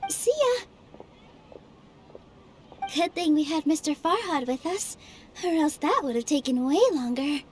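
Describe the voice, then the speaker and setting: A woman voicing a kind, cheerful young female dancer who talks in a warm, reassuring way in a video game. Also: high voice, lively intonation, measured pace, pauses often, slight background noise. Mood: gentle. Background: game music.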